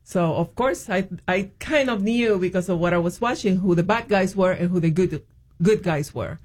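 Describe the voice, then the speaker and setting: A woman's voice: fairly low pitch, moderate pace, darkish tone. Hesitant woman guest. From Puerto Rico. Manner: hesitant, many ums and restarts. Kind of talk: interview, telling her faith story.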